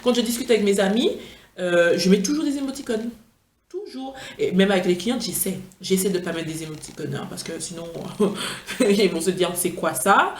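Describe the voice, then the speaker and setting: A woman's voice: mid-range voice, normal speed, a little hiss or hum. Chatty, tired woman in an echoey room. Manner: chatty, playful, gushing with enthusiasm. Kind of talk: casual product-review vlog.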